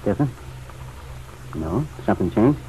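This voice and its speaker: A man's voice: fairly low pitch, big pitch swings, normal speed, warm tone. An actor playing a bitter, restless young man in an old radio drama, curt and irritable. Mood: curt, sullen. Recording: muffled, noisy old radio recording.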